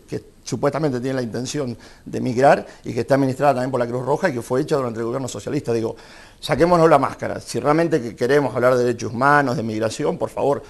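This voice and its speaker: A man's voice: medium pitch, creaky. A man speaking combatively as a guest in a studio debate. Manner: rapid, combative. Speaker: panel guest.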